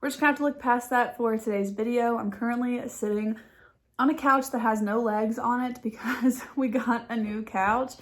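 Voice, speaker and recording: A woman's voice: fairly high pitch, normal speed. A young woman with a stuffy nose from a head cold, chatting casually about beauty products from her couch at home. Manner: chatty and relaxed, with small laughs. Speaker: beauty vlogger. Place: living room couch at home. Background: dog making noise nearby.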